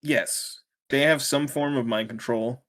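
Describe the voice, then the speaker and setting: A man's voice: medium pitch, fairly even intonation, measured pace, fairly bright tone. A man co-hosting a podcast in casual chat, making wry, skeptical remarks and laughing mid-sentence. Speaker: podcast co-host.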